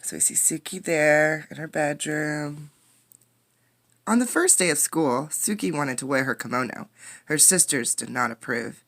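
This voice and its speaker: A woman's voice: deep voice, lively intonation, unhurried, fairly bright tone. A woman reading a children's picture book aloud as a teacher, expressively, explaining words and putting questions to the listeners. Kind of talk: interactive children's read-aloud.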